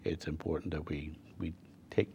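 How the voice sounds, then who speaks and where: An older man talking as a guest in a casual interview, earnest and halting, often repeating his words. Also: very deep voice, fairly even intonation, creaky, warm tone, slight background noise. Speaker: guest on a talk show.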